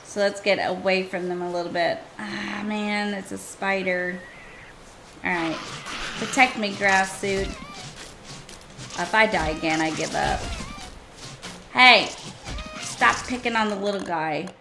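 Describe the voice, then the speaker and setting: A woman streaming a survival game, chatting casually and thinking aloud to her viewers, her voice a little hoarse. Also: medium pitch, measured pace, long pauses, background noise. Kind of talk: casual gameplay commentary.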